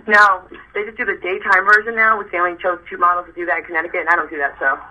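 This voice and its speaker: A young woman with a raspy voice, tired from little sleep, chatting as an interview guest and rambling with many restarts. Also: lowish voice, steady tone, racing, muffled recording, slight background noise. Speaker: interview guest, model and pageant host. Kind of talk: casual chat.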